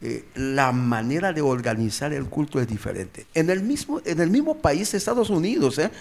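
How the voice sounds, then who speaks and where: Male pastor for informal group teaching; slightly high voice, repeats key phrases, questions his listeners. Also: big pitch swings, normal speed, gravelly, darkish tone. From born and raised outside Mexico, living in Mexico. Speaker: pastor, guest explainer.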